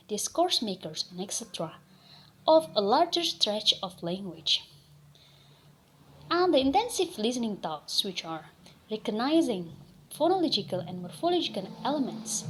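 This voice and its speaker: A young woman with an Indonesian accent, presenting a lesson from slides, reading in short, careful phrases. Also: medium pitch. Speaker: student presenter.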